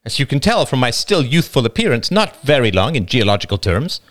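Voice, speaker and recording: A man voicing an older wife in a storybook reading, speaking in a brisk, dryly teasing way. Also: mid-range voice, sing-song, fairly bright tone, pitched above the performer's own voice. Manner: dry teasing delivery.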